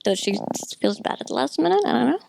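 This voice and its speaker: A woman's voice: fairly high pitch, big pitch swings, fast talker, gravelly, darkish tone, no echo. A woman giving an informal, opinionated film critique in a rambling, conversational way with frequent asides. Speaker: video essayist, film critic. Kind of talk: informal film critique commentary, partly scripted.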